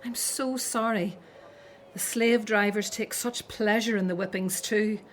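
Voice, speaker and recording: An actress playing a caring, concerned Hebrew older sister in a religious radio drama. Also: female voice, medium pitch, fairly even intonation, unhurried, a little hiss or hum, natural voice. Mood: sympathetic, worried.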